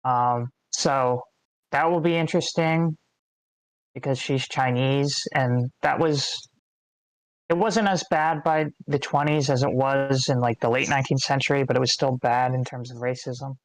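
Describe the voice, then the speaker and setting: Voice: medium pitch, steady tone, a little slow, no echo, poor audio. A speaker with an American accent giving feedback in casual, rambling chat. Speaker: participant giving script feedback. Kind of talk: casual chat, thinking aloud.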